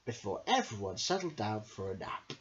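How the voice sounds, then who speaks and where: A woman's voice: extremely low pitch, very animated, normal speed, dull audio, slight background noise. A woman hosting a children's storytime, reading expressively and addressing young listeners directly. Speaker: storyteller and host.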